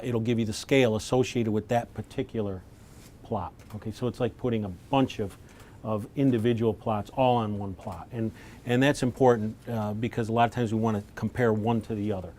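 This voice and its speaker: A man with a fairly low voice leads a technical briefing for visitors. He explains in plain, measured terms, with a light rumble in the room. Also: a little mellow. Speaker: lab lead presenting to visitors. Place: laboratory tour, indoors.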